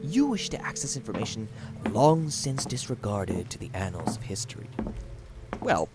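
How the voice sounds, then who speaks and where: A man playing an eerie keeper of old records who introduces horror tales in an ominous, drawn-out, theatrical way. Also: medium pitch, very animated, natural voice. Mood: ominous, inviting. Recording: loud music and rain behind.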